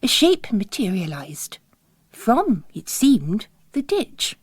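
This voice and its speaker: Female narrator for a literary novel: dramatic, slow, varied intonation, very dry studio-like sound. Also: mid-range voice, big pitch swings, breathy edge, dry recording.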